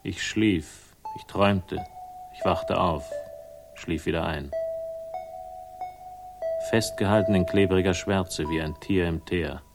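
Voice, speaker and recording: An actor playing a haunted, weary man who narrates his own crime story in slow, brooding, clipped phrases for a radio drama. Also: low voice. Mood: dark, uneasy. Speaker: first-person narrator. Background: music.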